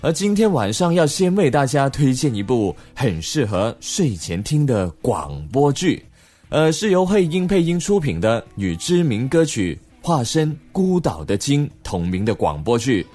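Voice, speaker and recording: A man speaks as a podcast host in a casual presenting style. His pitch moves in a lively way at a medium height. Light music plays behind him. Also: measured pace. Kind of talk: casual recommendation show talk. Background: background music.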